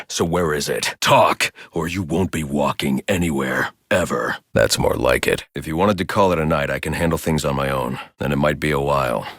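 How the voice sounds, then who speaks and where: An actor voicing a grim, driven masked vigilante detective in a video game, with a gravelly deep voice and terse, stern delivery. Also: male voice, a bit bright, no room sound, studio quality, full character voice. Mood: cold, menacing. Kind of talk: video game voice lines, scripted hero dialogue.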